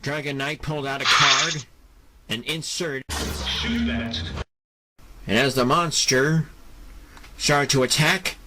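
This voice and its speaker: Slow-paced male audio drama narrator. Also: mid-range voice, fairly even intonation, very noisy. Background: music.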